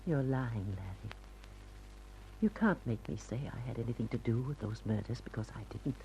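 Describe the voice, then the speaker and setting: Guarded noir dame, really low voice that swings around a lot, dramatic, muffled hummy old radio recording. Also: female voice, mellow, slight background noise. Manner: dramatic, emotive. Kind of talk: 1950s radio crime drama acting.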